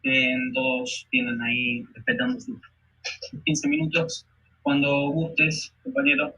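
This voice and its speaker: Bright-voiced male moderator, muffled call audio. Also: mid-range voice, wildly swooping pitch, unhurried. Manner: hesitant, with filler words. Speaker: forum moderator. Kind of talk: moderating an online panel. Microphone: video call.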